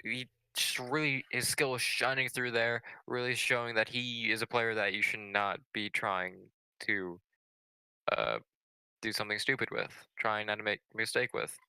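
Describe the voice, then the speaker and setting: A male esports co-commentator with a lowish, very bright and forceful voice. He analyses the live match in a conversational way, offering his opinions as he goes. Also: moderate pace, clear, resonant tone, no room sound, rough recording.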